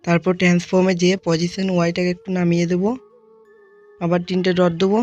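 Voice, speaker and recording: A tutorial host narrating software steps one by one, speaking informally to viewers as friends. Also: mid-range voice, completely flat delivery, quick pace, highs cut off, soft background music.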